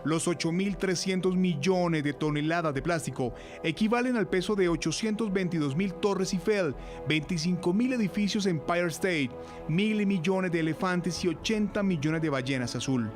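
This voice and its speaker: A man with a slightly high voice reads a news story in a steady, formal manner. Soft music runs underneath. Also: moderate pace. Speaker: news reporter. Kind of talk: scripted news narration.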